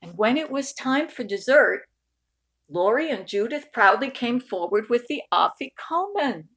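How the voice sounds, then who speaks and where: Slow, high-voiced woman reading a storybook. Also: big pitch swings, fairly bright tone, breathy edge. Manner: deliberate, dramatic phrasing with stretched key words. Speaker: picture book reader. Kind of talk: expressive storybook read-aloud.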